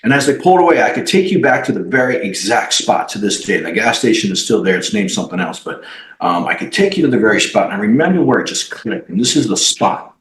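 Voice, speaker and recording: A man, a company CEO, telling his life story as a podcast guest in an animated way, with many fillers and restarts. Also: on the low side, fast. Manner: animated, acts out quoted dialogue, frequent fillers and restarts. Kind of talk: personal storytelling in a podcast interview. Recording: roomy echo.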